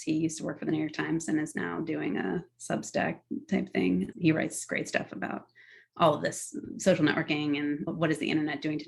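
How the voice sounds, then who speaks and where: A woman's voice: sits low, vocal fry. A middle-aged woman answering podcast interview questions in an articulate, earnest, enthusiastic way. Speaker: guest, tech policy executive.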